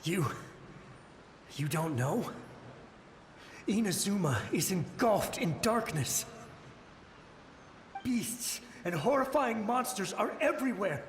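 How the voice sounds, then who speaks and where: An actor playing a shell-shocked ghostly soldier in a video game, talking in a desperate, halting, pleading way. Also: male voice, high voice, expressive, slight background noise, full character voice. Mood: frantic warning. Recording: echoey, reverberant, faint music.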